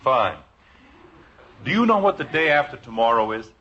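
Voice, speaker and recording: A man playing a gentle, indulgent middle-aged father in a 1950s radio sitcom, fond and wryly hesitant, on a dull old recording. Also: mid-range voice, very animated, normal speed. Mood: wry, conspiratorial.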